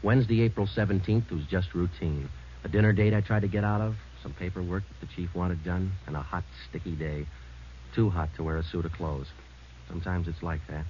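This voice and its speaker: Low deadpan cop guy man acting, terse and weary, old radio police show, muffled with lots of hiss. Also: brisk, lightly acted. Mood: grim, flat. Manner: low-key, deadpan, clipped. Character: terse, weary male police homicide sergeant. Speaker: narrator and lead actor. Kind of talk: 1940s-50s radio police drama acting.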